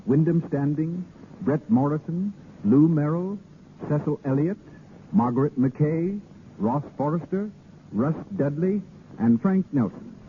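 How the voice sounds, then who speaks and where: A man's voice: a little high, measured pace, pauses often. Vintage radio recording, light noise, man, formal announcer, scripted reading. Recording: noisy, narrow-band 1930s radio recording.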